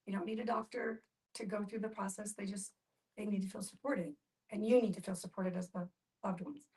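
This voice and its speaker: A woman heard at some distance from the microphone, with a medium-pitched voice. She explains things in plain, conversational terms within a group discussion. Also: steady tone, a little fast, darkish tone. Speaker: discussion participant. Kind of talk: casual explanatory group talk.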